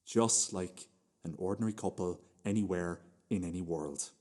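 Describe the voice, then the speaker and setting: A man's voice: low-pitched, moderate pace, darkish tone, natural voice. A male actor playing a vain husband in a radio play, pompously interjecting and correcting while posing as ordinary. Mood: smug. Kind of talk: radio play monologue shared as a duet.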